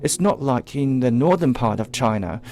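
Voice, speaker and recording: A man giving spontaneous spoken commentary on a live tour, haltingly repeating and rephrasing as he goes. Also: mid-range voice, expressive, dark tone.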